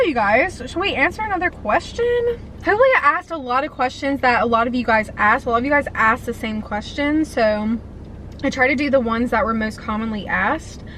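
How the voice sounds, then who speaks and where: A woman's voice: high voice, sharp tone, a little hiss or hum, poor audio. A woman in her twenties chatting casually and eagerly while eating on camera, answering viewer questions. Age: 20s. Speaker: food vlogger. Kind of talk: casual mukbang chat.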